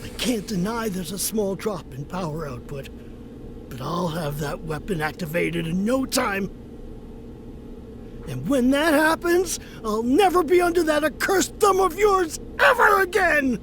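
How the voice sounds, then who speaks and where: A resentful, hot-headed game villain. His voice is very high and slow-paced, with agitated, theatrical swoops. Music is clearly heard behind him. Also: animated, background noise, exaggerated voice. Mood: bitter, defiant. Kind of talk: dramatic video game acting.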